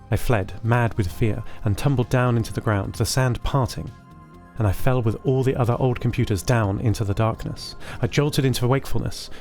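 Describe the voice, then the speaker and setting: A man playing a gentle, anxious sentient computer, narrating its story in a measured, earnest way. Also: on the low side, close to an everyday voice. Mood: uneasy, haunted, then fearful. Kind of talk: scripted first-person audio drama narration.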